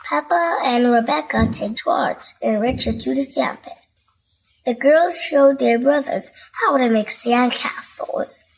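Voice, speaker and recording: A woman reading a bedtime storybook aloud to young children in an expressive, scripted narration style. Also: high-pitched, measured pace, gravelly, breathy edge, poor audio.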